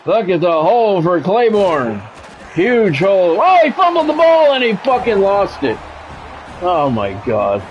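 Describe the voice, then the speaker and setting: A man's voice: sits high, wildly swooping pitch, unhurried, faint music behind. A middle-aged man doing sports video game play-by-play with blunt, sweary, exasperated reactions. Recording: dull lo-fi audio, faint background noise.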